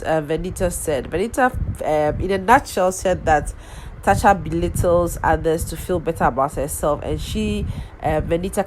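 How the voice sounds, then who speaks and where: A woman with a notably low voice and a head cold that leaves her sounding nasal. She talks in a loose, casual way, stopping for ums and restarting her sentences as she goes. A faint noise sits under her voice. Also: a little mellow, poor audio. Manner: rambling, lots of ums and restarts. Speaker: vlogger recapping a reality show. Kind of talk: casual chatty recap.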